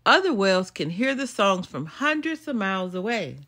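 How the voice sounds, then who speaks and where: An older woman reading a children's nonfiction book aloud as a storytime host, in an expressive, deliberate way. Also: medium pitch, background noise. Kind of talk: storybook read-aloud narration.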